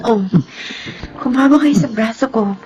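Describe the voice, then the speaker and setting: A woman playing a remorseful, devoted adult daughter in a radio drama, speaking earnestly and tenderly with emotional pauses. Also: on the high side, highs cut off, natural voice. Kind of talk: Filipino radio drama acting and first-person narration.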